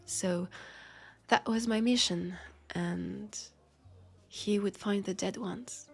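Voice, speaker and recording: A woman with a French accent playing a world-weary, guarded immortal vampire detective in an audio drama, speaking haltingly and confidingly with a creaky, breathy voice that slips toward whispers. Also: medium pitch, fairly even intonation, soft background music, heavily acted. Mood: nostalgic, wistful. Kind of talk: intimate roleplay audio drama monologue.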